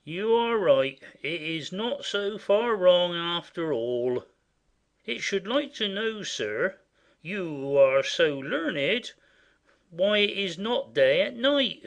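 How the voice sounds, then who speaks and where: Man playing a high-voiced, simple, boastful servant. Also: very animated, slow pace, creaky, highs cut off, exaggerated voice. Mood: cheerfully boastful. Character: simple, boastful male servant, cheerfully self-satisfied and smitten. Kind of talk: audio play acting of classic French comedy.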